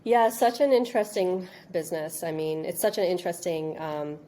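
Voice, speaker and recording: A woman from Canada, born in India, giving informal, halting interview answers and circling back over her phrases. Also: on the low side, dark tone, slight background noise. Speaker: interview guest, registry business executive.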